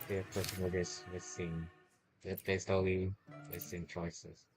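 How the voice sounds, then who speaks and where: A Malaysian-accented man chats on a game livestream. His voice sits fairly low, and he talks in offhand fragments while the game's music plays behind him. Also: little pitch movement, a little slow. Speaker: game streamer. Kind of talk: casual livestream chat over gameplay.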